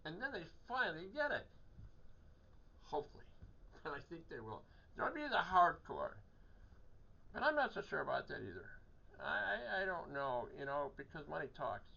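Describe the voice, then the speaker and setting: Gravelly, hoarse older man, earnest. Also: a little high, very animated, bright, forceful tone, lo-fi sound, a little hiss or hum. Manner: earnest, rambling, halting restarts. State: slightly hoarse from a cold. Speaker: hospital chaplain. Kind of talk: casual conversation.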